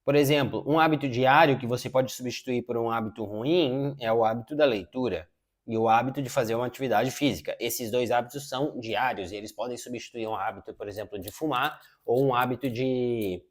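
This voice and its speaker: Casual man in his thirties. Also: medium pitch. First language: Portuguese. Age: 30s. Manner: informal, says "cara" a lot, many filler "é" pauses. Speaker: self-improvement YouTuber. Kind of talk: casual advice talk. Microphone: wireless lapel mic.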